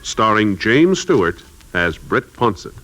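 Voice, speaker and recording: A male American announcer in 1950s radio style speaks in a low, animated voice. Loud music plays along with him on the old broadcast recording. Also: measured pace, rough recording.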